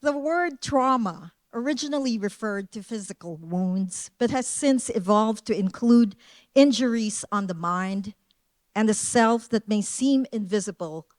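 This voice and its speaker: A woman whose first language is Filipino, giving formal, measured closing thanks as a curator. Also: mid-range voice, no room sound. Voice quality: formal, measured delivery reading a list of thanks. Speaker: senior director of curatorial affairs. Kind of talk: prepared closing remarks.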